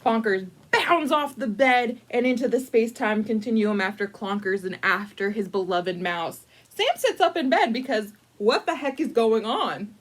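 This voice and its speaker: A woman hosting a casual storytime, chatty and informal, with playful, dramatic emphasis and drawn-out words as she tells a picture-book story. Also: a little high, animated. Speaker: librarian storytime host.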